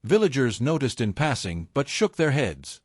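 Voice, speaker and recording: A man's voice: medium pitch, sing-song, slightly rough, no echo. Solemn male storyteller, dry close sound. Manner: solemn, portentous, measured short phrases. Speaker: storyteller, narrator. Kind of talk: scripted folktale narration.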